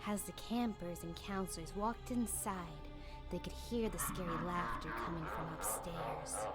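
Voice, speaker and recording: A woman's voice: lowish voice, normal speed, over loud music, close to an everyday voice. Dramatic girl camper spinning spooky tales played by a woman. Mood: suspenseful, dramatic. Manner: dramatic, suspenseful, emphatic. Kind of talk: theatrical campfire storytelling in audio drama.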